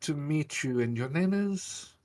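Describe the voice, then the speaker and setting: A man's voice: a little high, animated, measured pace, darkish tone. Guy doing a charming rogue sea captain lord, flirty n teasing, tabletop game. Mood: flirtatious.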